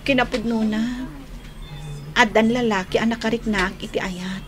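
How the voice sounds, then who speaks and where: An actress with an Ilocano Filipino accent playing a sweet, frank, lovelorn young college student in a radio drama. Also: female voice, fairly high pitch, steady tone, moderate pace, over music, lightly acted. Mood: gently firm.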